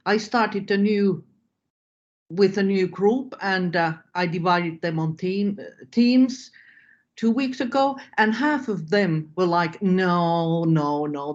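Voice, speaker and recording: A woman's voice: mid-range voice, slow. Lady hosting a webinar, casual, slightly dull lo-fi sound. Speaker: moderator, teacher. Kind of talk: informal webinar moderation.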